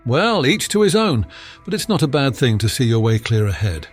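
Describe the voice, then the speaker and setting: A man acts out a confident audiobook police inspector in a middle-pitched voice. He is blunt and matter-of-fact, with everyday colloquial phrasing. Light music runs underneath. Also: sing-song, moderate pace, close to an everyday voice. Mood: smug, amused. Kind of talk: acted audiobook dialogue.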